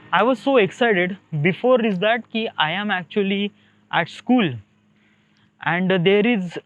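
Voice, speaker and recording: Through a thin, phone-line-like recording comes a young man with an Indian accent. His voice sits fairly high for a man. He talks in a casual, wandering monologue, often hesitating and repeating words as he looks for the next one. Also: a bit bright. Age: young adult. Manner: hesitant, repetitive, searching for words. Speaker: solo podcaster. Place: outdoors beside a highway, walking.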